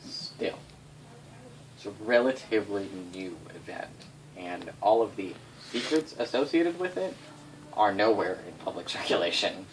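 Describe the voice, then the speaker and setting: A man's voice: lowish voice, a little slow. A man recounting game lore to his players in a casual, off-the-cuff way, swearing freely and stressing words for drama. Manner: sweary, animated, dramatic emphasis. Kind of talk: casual off-the-cuff history telling. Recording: distant mic, noisy room ambience.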